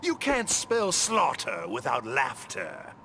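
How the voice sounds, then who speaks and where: An actor playing a sinister, gleefully mad clown villain in a video game, theatrical and mocking. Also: male voice, medium pitch, wildly swooping pitch, slow pace, bright, forceful tone, heavily acted. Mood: gleeful menace. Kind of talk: video game character dialogue. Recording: game audio with background noise.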